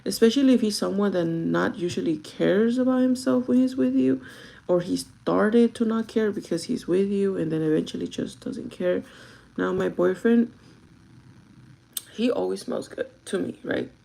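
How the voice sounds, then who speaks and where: A young woman with a gravelly, groggy voice chats casually and directly to her viewers, giving advice in an informal vlog style. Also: mid-range voice, lively intonation, moderate pace, warm tone, poor audio. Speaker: vlogger chatting while doing makeup.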